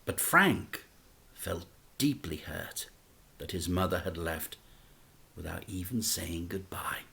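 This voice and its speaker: Male teacher doing story time, really animated, takes his time with every line. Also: sits low, takes it slowly, vocal fry, a little hiss or hum. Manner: expressive, dramatic storytelling. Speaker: teacher reading to children. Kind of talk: reading a children's book aloud.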